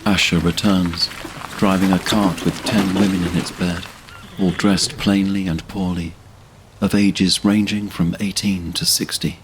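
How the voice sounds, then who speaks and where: A man narrating a fantasy audio drama in a solemn, measured manner. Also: low-pitched, little pitch movement, slight background noise.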